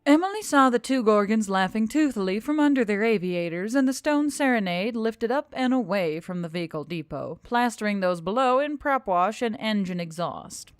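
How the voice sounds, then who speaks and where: A woman reads scripted fiction as narrator. Her intonation is animated and moves widely in pitch. The recording is dry, with faint music behind it. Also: moderate pace, hardly any pauses, clear, resonant tone, no echo. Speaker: narrator and channel host.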